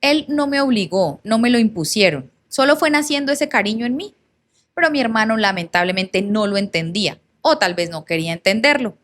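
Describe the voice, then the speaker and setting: A woman's voice: medium pitch, a bit bright. A woman narrating and reading a personal storytime in a conversational way, with asides to her viewers. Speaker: content creator reading followers' stories.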